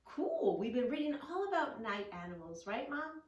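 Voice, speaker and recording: An excited young girl from a camping storybook, as a woman reader puts her on, full of friendly wonder. The voice is heard in an echoey room, a little away from the microphone. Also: fairly high pitch, moderate pace, slight background noise, voice pushed up. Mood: enthusiastic. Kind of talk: children's storybook reading. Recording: roomy, echoing, distant microphone.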